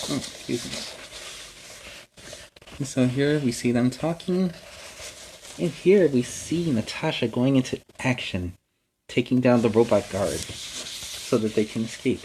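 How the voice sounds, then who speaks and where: A man's voice: mid-range voice, darkish tone, a little hiss or hum, poor audio. Man hosting a superhero story time. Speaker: children's story time host in superhero character. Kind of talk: storybook narration with chatty asides.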